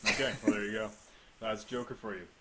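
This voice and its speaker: A man's voice: lowish voice, fairly even intonation, fast talker, bright, forceful tone, slight background noise. A man hosting a casual interview, asking questions with teasing, dry jokes. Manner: joking, teasing dry asides. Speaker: host.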